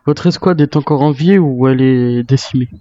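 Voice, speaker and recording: A man's voice: medium pitch, normal speed, dark tone, dry recording, dull audio, close to an everyday voice. A man playing a guarded retired military man turned hunter in an improvised zombie game roleplay, with terse questions and replies. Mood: guarded, questioning.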